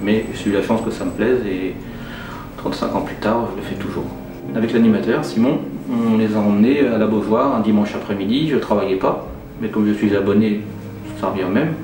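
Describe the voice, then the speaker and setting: A man's voice: lowish voice, brisk, far from the mic, noisy background. A man, talking informally and plainly about his job in an interview. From Nantes, western France. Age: 40s. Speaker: care-home head chef being interviewed. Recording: roomy, off-mic voice under clear music. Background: music.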